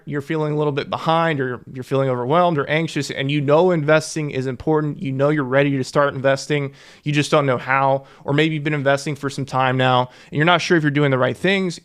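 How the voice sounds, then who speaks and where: A young man, a financial educator, earnestly walking viewers through investing figures and repeating key numbers for emphasis. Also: medium pitch. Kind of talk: explainer to camera.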